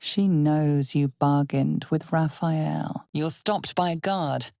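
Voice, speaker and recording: A woman playing an ominous, knowing narrator of a dark fantasy game, speaking in a measured, theatrical way that turns hushed and breathy at times. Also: deep voice, dry recording, studio quality, full character voice. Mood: measured, intrigued.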